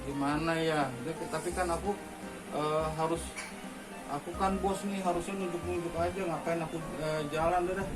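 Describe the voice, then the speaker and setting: A man's voice: on the high side, steady tone, moderate pace, loud music behind the voice, close to an everyday voice, low-quality recording. A man voicing a lazy, bossy cartoon finger that complains about being hungry, in a whiny way. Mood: lazy whining.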